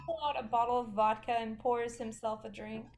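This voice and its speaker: A woman narrates stage directions for a play reading. Her voice sits somewhat high. The pickup is distant, with clear background noise and clicks. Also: steady tone, normal speed.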